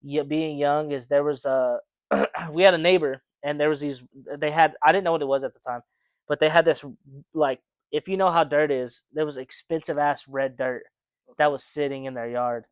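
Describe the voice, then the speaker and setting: Young man, podcast co-host, rambling, smooth resonant voice, dry close sound. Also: on the high side, steady tone, normal speed, no room sound. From United States. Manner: rambling, many fillers and restarts. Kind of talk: casual chat.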